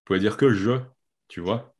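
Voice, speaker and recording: A man who grew up in Quebec, chatting in a relaxed, slangy way with frequent verbal tics. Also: lowish voice, normal speed, slightly rough. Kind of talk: casual conversation.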